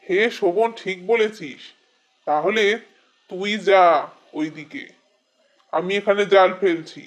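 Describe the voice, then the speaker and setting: A man plays a young fisherman sidekick in an animated fable. His voice is pitched high. He is agreeable and a little wary. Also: slow pace. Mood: cautious, friendly. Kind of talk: animated story character acting.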